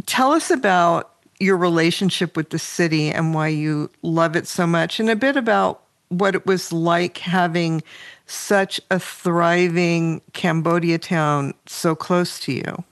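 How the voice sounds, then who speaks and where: A woman interviews her guest in a friendly, measured way. Her voice sits fairly low for a woman. The recording is dry and close. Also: no echo. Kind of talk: interview questions.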